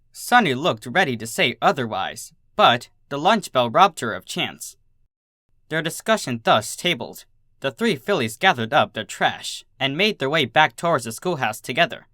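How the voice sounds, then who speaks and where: A narrator reading fan fiction aloud in an expressive storytelling style. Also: mid-range voice, no echo, clean studio sound.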